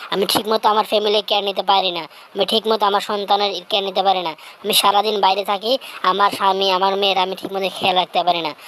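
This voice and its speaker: A woman's voice: mid-range voice, level tone, fast talker, a bit bright, slight background noise, close to an everyday voice. A woman voicing an aggrieved, worn-out poor mother bird in a cartoon storybook, plaintive and complaining. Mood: pleading. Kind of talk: cartoon storybook character dialogue.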